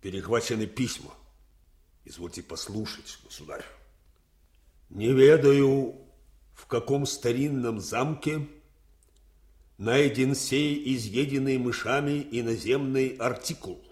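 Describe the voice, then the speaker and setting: Актёр играет чопорного, угодливого графа, докладывающего императору, в советской радиопостановке. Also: male voice, mid-range voice, takes it slowly, pauses often, a little mellow, lightly acted. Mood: servile, grave. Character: stiff, servile count informing on others to his emperor. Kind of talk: 1980s Soviet radio drama acting. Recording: roomy radio drama studio echo.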